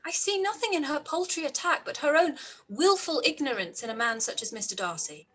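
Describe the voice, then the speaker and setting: A woman playing a headstrong, articulate young gentlewoman in a period radio play, indignant and self-assured. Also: high-pitched, expressive, brisk.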